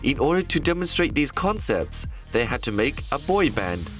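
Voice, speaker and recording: A man narrates a short feature in a scripted, upbeat way. His pitch rises and falls a lot as he speaks. Music plays clearly underneath. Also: sing-song, normal speed.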